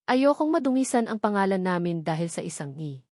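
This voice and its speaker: A woman's voice: medium pitch, very animated, fairly quick, darkish tone, no room sound, lightly acted. An icy, commanding matriarch of a wealthy family, as a woman narrator puts her on in a dramatic audio story. She is older, haughty and threatening, and the sound is dry with little room to it. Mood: angry, threatening.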